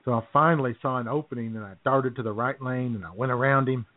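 A man's voice: mid-range voice, fast talker, dry recording. An American man co-hosting a talk show in casual, jokey banter, often chuckling as he goes. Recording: tinny, phone-like band-limited sound.

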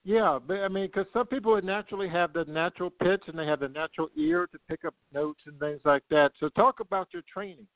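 A man's voice: a little high, quick pace, hardly any pauses, dry recording. A man hosting an interview, effusive and admiring as he asks questions. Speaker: host. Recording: tinny phone-like line.

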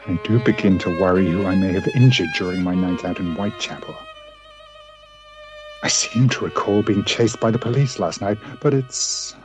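A man playing a cultured, secretive gentleman doctor in a radio play, speaking formally and theatrically. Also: lowish voice, lightly acted. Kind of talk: radio play acting, journal monologue.

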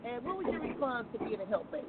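A woman's voice: a little high, very animated, fast talker, noisy background. A woman with an American accent hosting a casual, chatty live talk show, asking questions in an informal, folksy, conversational way. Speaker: talk show host taking calls. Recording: thin phone-like audio.

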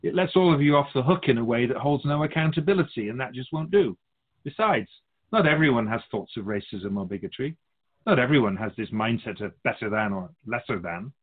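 An actor playing an otherworldly keeper of souls in a radio drama, patiently teaching humans in a measured, all-knowing way. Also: male voice, mid-range voice, animated, lightly acted. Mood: earnest, instructive. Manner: didactic, measured explaining, speaks of humans as outsider. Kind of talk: scripted radio drama dialogue. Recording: dull phone-like narrow sound.

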